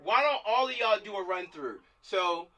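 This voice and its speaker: Bright, higher-voiced man on a distant mic. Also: expressive, normal speed. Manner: slangy, repeats himself for emphasis. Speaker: filmmaker leading a script table read. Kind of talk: casual chat and directing actors. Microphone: video call. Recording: distant mic on video call.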